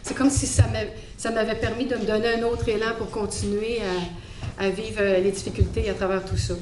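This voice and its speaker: Quebec French woman sharing a testimony, halting and thoughtful, mid-pitched, recorded in a reverberant hall. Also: little pitch movement. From Montreal, Quebec. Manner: hesitant, reflective, many fillers. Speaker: guest speaker giving a faith testimony. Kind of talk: personal testimony talk. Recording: echoey hall, faint background noise.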